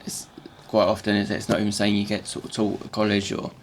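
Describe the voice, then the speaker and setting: Man, croaky morning voice, halting repeated words, casual tutorial talk, faint workshop hum behind. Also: fairly low pitch, monotone.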